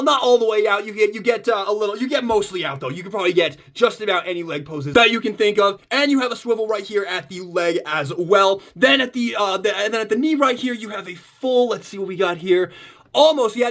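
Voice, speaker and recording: A man's voice: high-pitched, a bit bright. A man giving a casual, gushing fan review as he unboxes and shows off a product. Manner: gushing, enthusiastic fan talk. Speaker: toy reviewer.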